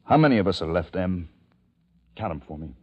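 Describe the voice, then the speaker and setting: A man's voice: low-pitched, expressive, fairly quick, dull audio. An actor playing a grave, weary man who leads a group of disaster survivors, in 1940s radio drama style, earnest and solemn. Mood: despairing. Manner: earnest and solemn, sinking to hushed despair. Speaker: lead and narrator.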